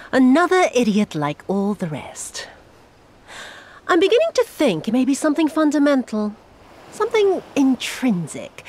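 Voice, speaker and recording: High, swooping, cruel villainess. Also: female voice, darkish tone, a little hiss or hum, heavily acted. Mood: contemptuous. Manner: mocking, theatrical, drawn-out delivery. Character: cruel, sadistic female imperial official toying with captives. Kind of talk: video game voice acting.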